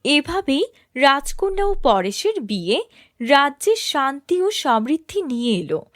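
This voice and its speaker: Woman, fairy-tale narrator, scripted, smooth storytelling, lively melody. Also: high voice, a little slow, dry recording, studio quality.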